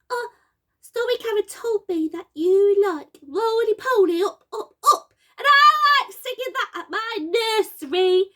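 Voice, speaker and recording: A woman voicing an excitable little puppet child in a children's puppet show, bubbly, childlike and a bit halting. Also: very high-pitched, full character voice. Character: excitable little nursery-age puppet child who loves singing.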